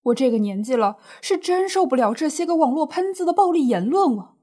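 Actress as older neighbourhood auntie running a snack shop: high voice, big swings, plaintive and indignant, radio drama. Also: female voice, lively intonation, fairly quick, dark tone. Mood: aggrieved. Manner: plaintive, exclaiming, colloquial grumbling.

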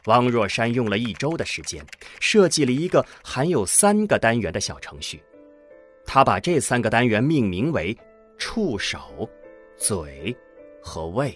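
A man narrates a scripted audio drama at a slow, measured pace. His intonation rises and falls widely. Music plays behind his voice. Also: medium pitch, very animated.

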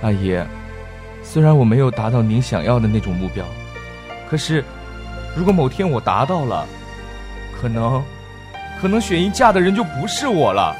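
An actor playing an earnest, devoted young man in an audio drama, pleading haltingly and emotionally. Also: medium pitch, very animated, over music, lightly acted. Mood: pleading, determined. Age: young adult. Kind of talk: Mandarin audio drama acting.

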